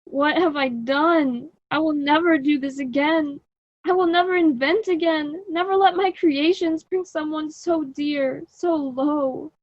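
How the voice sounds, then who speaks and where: A woman's voice: high voice, fairly even intonation, no echo. A woman playing a worried, earnest father and inventor in an amateur radio drama, on a slightly dull recording. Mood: grief-stricken. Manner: earnest, deliberate, instructive.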